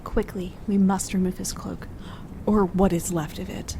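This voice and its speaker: A woman's voice: mid-range voice, flat delivery, moderate pace, vocal fry, noisy background, lightly acted. A woman playing an urgent, concerned companion tending the wounded in a gothic horror audio drama.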